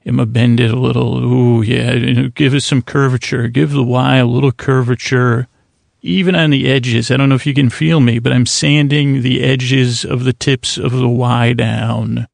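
A man with a creaky voice hosting a bedtime podcast, delivering a silly, meandering monologue with hesitant, looping tangents. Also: medium pitch, fairly even intonation, normal speed, dry recording, studio quality.

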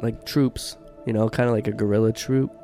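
A man's voice: on the low side, fairly even intonation, brisk, warm tone, faint music behind. A man hosting a podcast, explaining things earnestly and laughing easily. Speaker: podcast host. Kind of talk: casual chat.

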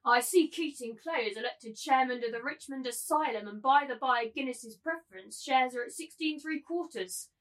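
A woman's voice: high-pitched, moderate pace, few pauses, lightly acted, low-quality recording. A woman playing a sadistic, bullying brothel keeper turned man in a dramatic reading, commanding and relishing every threat. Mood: angry, cursing. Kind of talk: dramatic table reading of a novel.

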